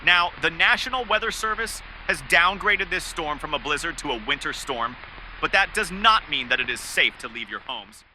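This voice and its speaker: A man playing a brisk TV weather forecaster, delivering a scripted forecast. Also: on the high side, animated, pushed and bright, slight background noise, low-quality recording. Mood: businesslike.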